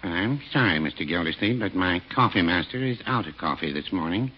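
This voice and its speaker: An American actor playing a mild, folksy older small-town druggist with dry, deferential delivery in a 1940s radio comedy. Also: male voice, sits low, expressive, brisk, slightly rough. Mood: mildly apologetic. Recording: muffled, noisy 1940s radio recording.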